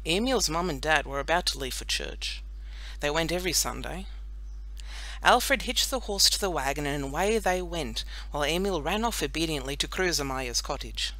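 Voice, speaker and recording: A woman narrating a children's audiobook in an expressive storytelling style. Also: deep voice, rough recording.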